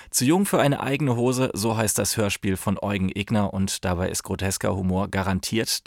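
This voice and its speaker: A man's voice: on the low side, creaky, no room sound, clean studio sound. A man presenting a radio drama with a scripted introduction.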